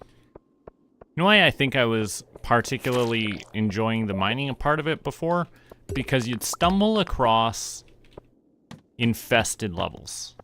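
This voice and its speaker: A man's voice: mid-range voice, expressive, a little slow, sharp tone, a little hiss or hum. A man giving casual video game livestream commentary, musing aloud in short remarks. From Canada. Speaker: streamer.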